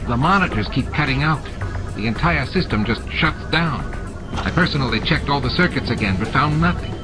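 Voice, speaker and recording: A man's voice: medium pitch, lively intonation, brisk, pitched above the performer's own voice, lightly acted. A man playing a nervous, apologetic alien who cowers before his brother, anxious and defensive, in an audiobook drama. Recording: music behind.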